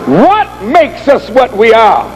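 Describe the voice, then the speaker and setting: High-pitched, slow-speaking male preacher. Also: wildly swooping pitch, crowd noise, rough recording. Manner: emphatic, deliberate, rhetorical repetition and questions. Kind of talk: sermon, oratorical public address.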